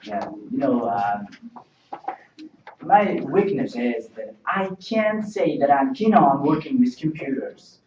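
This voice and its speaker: A man's voice: a little high, a little hiss or hum. A young man whose first language is Uzbek, playing a job candidate in a mock interview and answering carefully in rehearsed English with many fillers. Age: young adult. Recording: distant, roomy, dull lo-fi sound.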